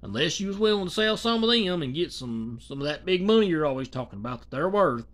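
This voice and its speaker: Casual vlogger, thirties, male, slightly high voice; rambling and loose, lots of asides. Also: big pitch swings, quick pace, a bit bright. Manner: loose, colloquial, many restarts and asides. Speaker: commentary vlogger. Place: small dim indoor room. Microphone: phone or webcam close to face.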